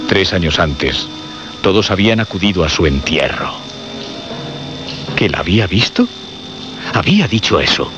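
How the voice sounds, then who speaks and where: An actor narrating a radio suspense drama in a grave, measured, dramatic way. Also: male voice, sits low, very animated, music in the background. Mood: grave, suspenseful. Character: grave male narrator of a horror tale. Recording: muffled radio drama recording.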